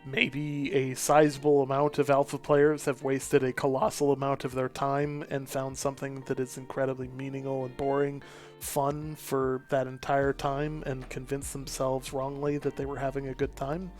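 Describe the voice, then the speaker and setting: A man chatting casually and thinking aloud on a gaming show, rambling in a halting way. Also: mid-range voice, monotone, soft background music. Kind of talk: casual chat.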